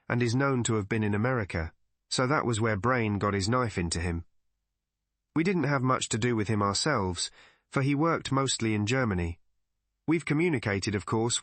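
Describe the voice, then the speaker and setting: A man's voice: mid-range voice, dry recording, close to an everyday voice. A man voicing an irreverent old police underling in a storybook, chatty, colloquial and matter-of-fact.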